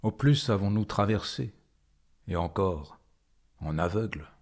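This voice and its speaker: A man reciting poetry in a dramatic style, phrasing deliberately with frequent pauses and a husky voice. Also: on the low side, lively intonation, darkish tone. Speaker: poetry performer.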